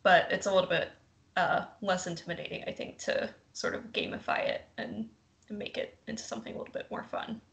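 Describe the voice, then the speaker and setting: A woman's voice: medium pitch, brisk, creaky, fairly bright tone. A young woman from Mississippi explaining a campus program over a video call, chatty and full of ums. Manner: chatty, frequent ums and likes. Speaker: campus prevention educator.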